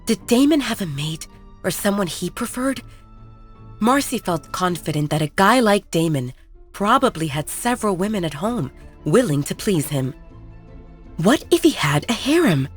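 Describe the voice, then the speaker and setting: A woman's voice: mid-range voice, sing-song, normal speed, breathy edge, soft background music. A woman narrator reading a scripted romance audio story in the third person.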